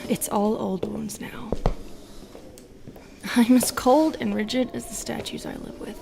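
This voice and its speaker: Slow, breathy, brooding Medusa played by a woman. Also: a little high, fairly even intonation, whispered. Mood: cold, bitter. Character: bitter, seductive, lonely female Medusa who turns people to stone. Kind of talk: intimate audio roleplay acting.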